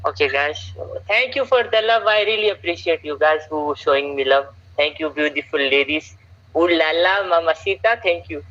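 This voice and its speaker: A young man from Saudi Arabia, a guest on a casual live stream, talking haltingly with many repeats and fillers. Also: fairly high pitch, pushed and bright, lo-fi sound. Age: young adult.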